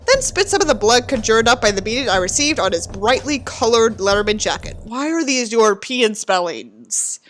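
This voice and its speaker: Animated high-voiced woman, dramatic reader. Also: moderate pace, few pauses, slight background noise. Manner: theatrical, exaggerated, sarcastic. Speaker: host reading a book aloud with commentary. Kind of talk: mock-dramatic book reading with candid asides.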